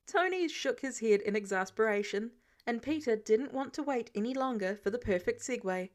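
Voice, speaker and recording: A woman narrates a piece of fiction in an audiobook style. Her voice is on the high side. She reads expressively from a script. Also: lively intonation, normal speed.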